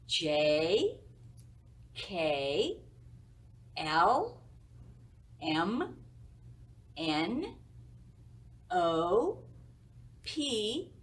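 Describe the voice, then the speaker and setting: A woman's voice: mid-range voice, fairly bright tone. A woman hosting a children's story time, leading young listeners in a sing-song way with simple questions. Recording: distant mic with faint background noise.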